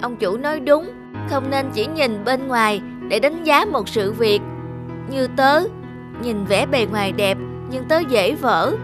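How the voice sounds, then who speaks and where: A woman voicing a gentle, humble talking bowl in an animated fable, with an earnest manner. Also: fairly high pitch, unhurried, over music, close to an everyday voice. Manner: soft-hearted, earnest.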